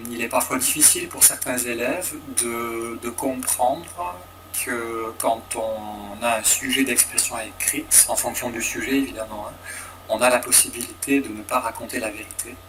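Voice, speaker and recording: A man's voice: mid-range voice, background noise. A man teacher reflecting on his students' writing, searching for words as he goes. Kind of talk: interview testimony.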